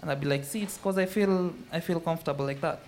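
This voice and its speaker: A man's voice: on the high side, fast talker, a little mellow, slight background noise. A man from rural Kenya, talking candidly and self-consciously as a guest on stage. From Murang'a, rural Kenya. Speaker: audience guest. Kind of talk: candid personal talk on stage. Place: live event with an audience.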